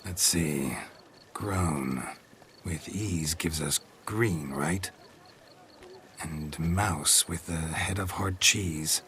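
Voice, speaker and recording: An actor playing a gruff, laconic middle-aged male monster hunter with a terse, deadpan delivery. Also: low-pitched, drawn-out words, slight background noise, exaggerated voice. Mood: matter-of-fact.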